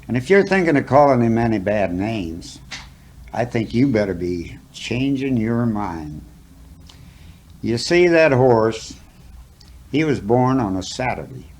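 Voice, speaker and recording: Slow-talking man reciting cowboy poetry. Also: mid-range voice, darkish tone, slight background noise. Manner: folksy, drawn-out phrasing with rustic dialect. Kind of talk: dramatic storytelling recitation of a cowboy poem.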